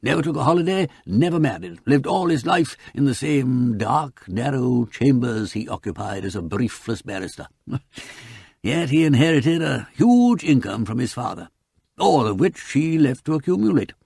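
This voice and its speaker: A man's voice: medium pitch, big pitch swings, moderate pace, vocal fry, a little mellow, breathy edge, dry recording, studio quality, pitched above the performer's own voice, heavily acted. A man voicing a courteous, old-fashioned elderly English solicitor with a hesitant, precise, formal way of talking in an audiobook. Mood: musing, wistful.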